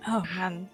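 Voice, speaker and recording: Low-pitched, bashful woman in casual chat. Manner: self-effacing, bashful, giggly. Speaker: game character artist.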